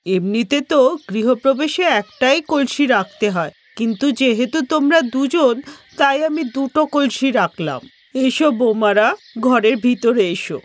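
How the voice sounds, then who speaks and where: A woman's voice: on the high side, a little slow. A woman voicing a kindly, welcoming mother-in-law in a cartoon moral story.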